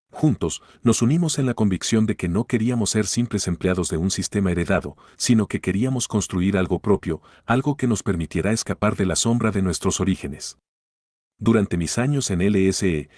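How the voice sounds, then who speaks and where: A man narrating a first-person story in a steady, measured way. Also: sits low, dry recording, studio quality.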